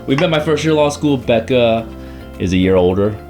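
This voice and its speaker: A man in his thirties or early forties from South Carolina, born in China, reminiscing in a conversational interview with halting, thoughtful phrasing. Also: mid-range voice, animated, a little mellow, faint music behind. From grew up in South Carolina, born in China. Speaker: law school alumnus, interviewee.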